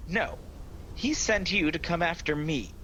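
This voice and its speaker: An actor playing a sly, proud devil who taunts and tempts in a sardonic, theatrical, persuasive manner in a radio play. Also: male voice, fairly high pitch, measured pace, fairly bright tone, muffled recording. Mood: boastful, challenging. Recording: noisy home video-call recording.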